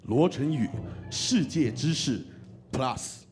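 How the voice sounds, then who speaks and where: A man's voice: mid-range voice, slow pace, over music. A man with a Taiwanese Mandarin accent co-presenting a ceremony as a host, in a playful, joking way. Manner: animated, joking. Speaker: radio host and actor co-announcing award nominations.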